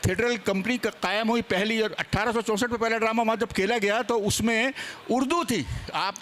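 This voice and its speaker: A man's voice: sits high, fairly even intonation, normal speed, a bit bright, a little hiss or hum. A man speaking as a seminar panelist, explaining history in an insistent, point-by-point way. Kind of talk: animated explanatory panel talk on theatre history. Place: seminar stage at a live event.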